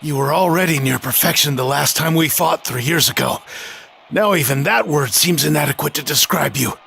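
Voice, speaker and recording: A man playing a proud, stern martial arts fighter in an anime game, speaking in a measured, self-assured, formal way with a gravelly voice. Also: a little high, fairly bright tone, slight background noise. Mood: composed, impressed. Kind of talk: anime video game voice acting.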